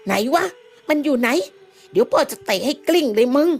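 A woman voicing a rough, cheeky male villager who curses and teases in a fawning way. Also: high-pitched, normal speed, voice pushed up. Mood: angry cursing.

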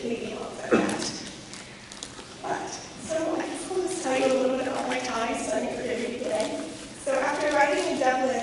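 A woman in her twenties with an American accent gives a prepared talk, her voice scratchy from a cold. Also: fairly high pitch. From Kansas, United States. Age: 20s. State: recovering from a cold. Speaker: guest speaker, scholarship recipient. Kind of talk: prepared talk to a club audience. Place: large hard-walled meeting room. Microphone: handheld mic, heard through room from camera. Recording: echoey hall PA from far off, noisy.

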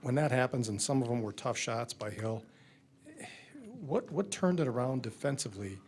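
A man's voice: mid-range voice, expressive, moderate pace, a little mellow. A man, a sports reporter, asking long, wordy questions at a press conference.

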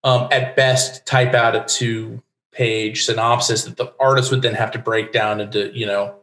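A man's voice: mid-range voice, flat delivery, moderate pace, fairly bright tone. Middle-aged man explaining in an echoey room. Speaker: guest, comics writer. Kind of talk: explanatory interview talk. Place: home room with bookshelves, indoors. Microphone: desk microphone on video call. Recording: echoey room over video call.

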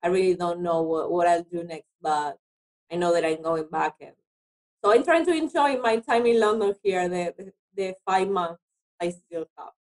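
A woman's voice: on the low side, normal speed, darkish tone, poor audio. A woman from Argentina with an Argentine accent and Spanish as her first language, speaking hesitant English and repeating words as an interview guest. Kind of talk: conversational interview.

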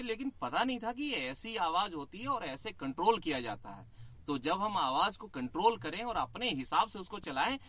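Casting: middle-aged male teacher, fairly high bright voice, earnest testimonial, English phrases mixed in, studio mic. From Jharkhand, India. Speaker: chemistry teacher, course participant. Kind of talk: spoken testimonial in an interview. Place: small studio room, indoors. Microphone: studio condenser mic on a boom arm.

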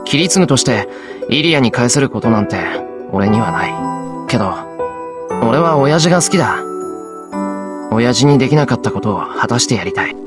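A man's voice: medium pitch, expressive, normal speed, long pauses, over loud music, close to an everyday voice. A man voicing an earnest, kind, plain-spoken teenage boy in a Japanese visual novel. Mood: earnest, resolute. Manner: sincere, earnest.

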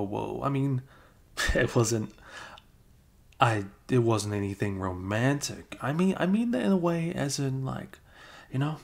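A man playing a flustered, caring young male best friend with a crush, speaking haltingly in an improvised ASMR roleplay. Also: medium pitch, creaky. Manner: halting, bashful, chuckling, casual bro talk.

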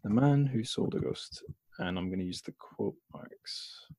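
Low-pitched man hosting a casual podcast. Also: a little slow, mellow, no room sound. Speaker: podcast host, interviewer. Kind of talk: casual conversational interview hosting.

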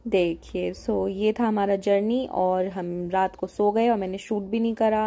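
A young woman narrating her travel vlog in a casual, chatty way, with filler pauses. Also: mid-range voice, warm tone. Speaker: travel vlogger.

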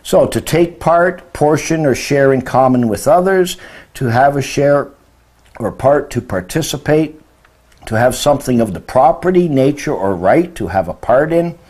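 A man in his sixties with a medium-pitched voice delivers an informal religious lesson. He explains terms didactically, leans on key words and often puts rhetorical questions to the listener. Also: animated, moderate pace, a little mellow. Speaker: religious teacher. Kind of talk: informal lecture explaining word meanings. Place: indoor room beside a presentation screen.

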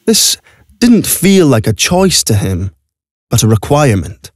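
A man's voice: mid-range voice, sing-song, measured pace, no echo, clean studio sound. A man narrating a scripted audio drama as a storyteller. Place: recording studio. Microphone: close studio microphone.

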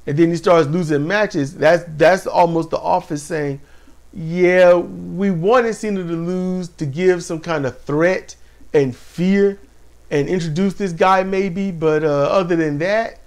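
A man co-hosting a casual wrestling talk show, animated and laughing often, speaking to viewers as y'all. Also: high voice, takes it slowly. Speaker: podcast co-host. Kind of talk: casual chat about wrestling.

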